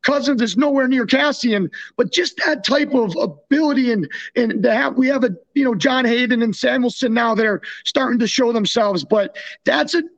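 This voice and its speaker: High-pitched, creaky North American man. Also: moderate pace, highs cut off. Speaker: podcast co-host and former hockey player. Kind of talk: casual sports chat.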